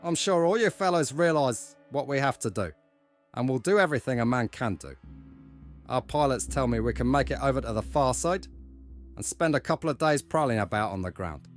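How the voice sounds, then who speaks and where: A man plays a hearty, domineering male explorer in an audio drama. He speaks in a rousing, old-fashioned way at a medium pitch, with faint music behind. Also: lively intonation, fairly quick, lightly acted. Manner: rousing, bluff, old-fashioned turns of phrase.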